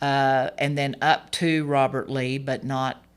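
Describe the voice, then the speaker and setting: A speaker in their forties, a hoarse and slightly gravelly voice, talking as a candidate on a radio interview, rambling through fillers and restarts in an earnest way. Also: medium pitch, level tone, takes it slowly. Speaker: political candidate.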